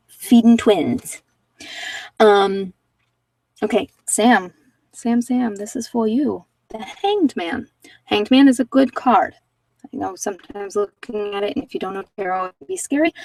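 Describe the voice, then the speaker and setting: A woman's voice: fairly high pitch, a little mellow, no echo, distant mic, poor audio. A woman giving casual live tarot readings to viewers, talking informally and thinking aloud as she goes. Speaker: tarot reader and channel host.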